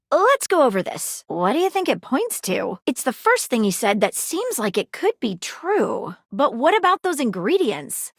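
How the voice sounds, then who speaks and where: Flirty, animated lady detective, high-voiced. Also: no room sound, studio quality, heavily acted. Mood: intrigued, deducing. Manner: theatrical, genteel, mystery-sleuth diction. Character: flirty, eager female magnifying-glass detective, prim and clever. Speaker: video game character. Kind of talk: animated video game voice acting.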